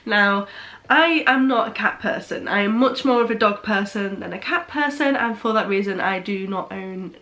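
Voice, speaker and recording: A woman's voice: medium pitch, normal speed, pushed and bright. A young woman vlogging in a casual chatty way, sounding nasal from a cold and often restarting her sentences. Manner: chatty, hesitant with frequent restarts. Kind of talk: casual chat.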